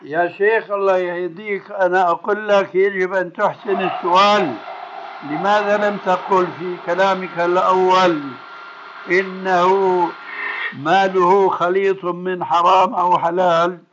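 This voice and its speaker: Older male scholar, high-pitched, bluntly admonishing in religious Q&A, on a muffled, noisy cassette recording. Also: flat delivery, unhurried. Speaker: Islamic scholar answering questions. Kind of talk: spoken religious question-and-answer.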